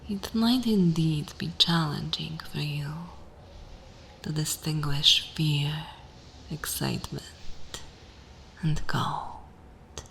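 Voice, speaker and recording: A woman voicing a dominant, condescending, seductive vampire mistress in an ASMR roleplay, speaking in breathy, intimate whispers with long deliberate pauses. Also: deep voice, steady tone, a bit bright, a little hiss or hum, heavily acted. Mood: seductive, hushed.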